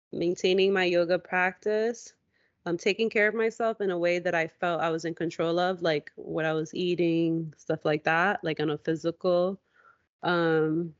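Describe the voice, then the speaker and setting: A woman co-hosting a podcast, talking casually and reflectively about her own experience in an informal, halting way. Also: fairly low pitch, no room sound, highs cut off. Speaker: podcast co-host.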